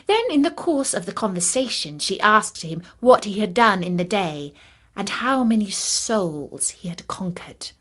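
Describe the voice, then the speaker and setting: A woman storyteller narrating a scripted fairytale in a deliberate, expressive manner. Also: medium pitch.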